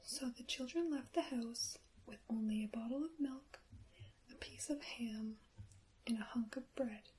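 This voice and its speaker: A woman reading a fairy tale aloud as ASMR in a soft, breathy whisper, gentle and careful with her words. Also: a little high, unhurried, poor audio. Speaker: storyteller and ASMR host.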